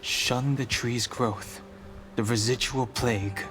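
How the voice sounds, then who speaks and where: Game role: reserved young dragon warrior, acted by a man, medium pitch, grave formal delivery over loud music. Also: steady tone, close to an everyday voice. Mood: solemn incantation. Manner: formal, measured, serious. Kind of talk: video game voice acting.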